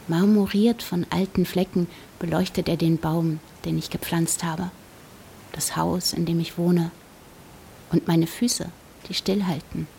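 An actress playing a contemplative, sceptical woman gazing at the moon in a literary radio monologue, speaking in a measured, musing way. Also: on the low side, a little mellow, slight background noise.

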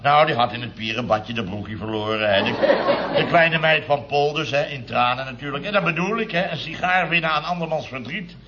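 An actor playing a grumbling middle-aged building contractor boss who spins chatty anecdotes in a vintage radio comedy. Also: male voice, fairly high pitch, fairly quick, over a noisy crowd, heavy background noise, lightly acted. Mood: boastful storytelling. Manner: chatty, grumbling anecdote telling with tag words. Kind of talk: 1960s-70s Dutch radio comedy acting. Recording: dull vintage radio recording.

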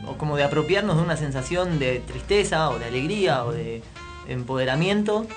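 A man's voice: on the high side, expressive, a little fast, music in the background. A man with an Argentine accent hosting a radio show in a casual, chatty way.

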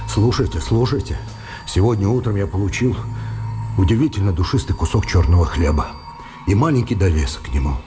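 A man's voice: low voice, animated, a little fast, music in the background. An actor playing an educated, ashamed man who confesses earnestly and insistently in a radio drama. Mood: confessing. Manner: earnest, insistent, repeating himself. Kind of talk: Russian radio drama acting.

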